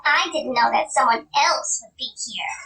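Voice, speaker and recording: A woman voicing an excitable, grumpy young rabbit for a children's storybook, with a fussy, whiny, exclamatory delivery. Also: high-pitched, normal speed, vocal fry, reverberant, recorded from a distance, slight background noise, voice pushed up, heavily acted. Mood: indignant. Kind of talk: children's read-aloud character voice.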